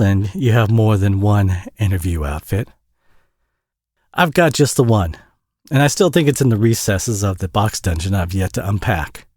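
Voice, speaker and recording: A man acts out a worn-out Southern US single dad in an intimate audio roleplay. His low voice swings in pitch as he stumbles and rambles, friendly, folksy and quick to put himself down. The sound is dry and close. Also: a little mellow, no room sound. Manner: folksy, rambling, stammering and self-deprecating.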